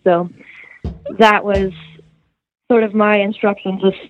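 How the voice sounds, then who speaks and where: A woman's voice: medium pitch, level tone, takes it slowly. A young woman, a student, earnestly recounting a detailed story in an interview, with casual fillers. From United States. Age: young adult. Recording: dull, muffled dry recording.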